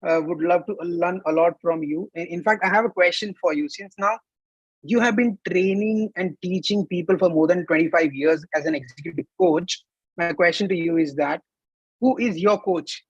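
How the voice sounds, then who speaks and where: A man's voice: high voice, normal speed, slightly rough, dry recording, lo-fi sound. A man hosting an online panel over a video call, upbeat and formal as he introduces speakers. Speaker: panel host.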